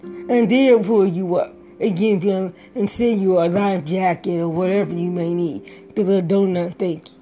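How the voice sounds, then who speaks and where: A middle-aged woman, a life coach, gives a motivational pep talk in a raspy, breathy voice with a dry throat, pressing her points by repeating key words. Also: on the low side, steady tone, normal speed, dark tone, faint music behind. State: dry throat after a stroke.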